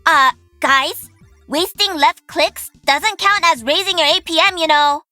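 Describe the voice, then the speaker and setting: A woman's voice: extremely high pitch, unhurried, pushed and bright, no room sound, soft background music, exaggerated voice. A woman playing a cocky, playful young pro-gamer mech pilot in a video game, cheeky and bubbly. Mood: bored, teasing. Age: young adult. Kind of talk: video game character voice lines.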